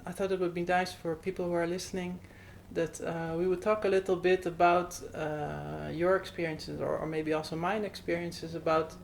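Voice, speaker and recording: A woman's voice: lowish voice, flat delivery, moderate pace, vocal fry, few pauses, slight background noise. A Dutch woman with a Dutch accent, speaking English as a second language while hosting an interview, hesitant with many fillers. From Netherlands. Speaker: host. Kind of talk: conversational interview. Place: Netherlands.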